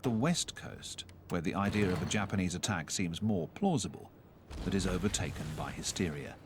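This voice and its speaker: A man narrating a historical documentary in a measured, solemn scripted delivery, recorded as a studio voice-over. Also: low-pitched, noisy background. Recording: narration under loud music score.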